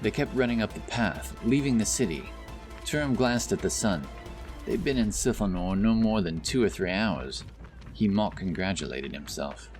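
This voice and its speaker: Deep-voiced man narrating fantasy over music. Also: expressive, normal speed, darkish tone. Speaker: audiobook narrator. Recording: music bed behind the voice. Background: background music and effects.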